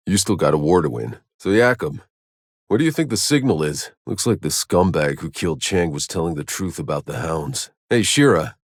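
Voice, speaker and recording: A man voicing a gruff, sardonic, battle-hardened soldier hero in a video game, with a terse, dry delivery. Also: deep voice, no room sound. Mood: dry, focused. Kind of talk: video game character voice lines.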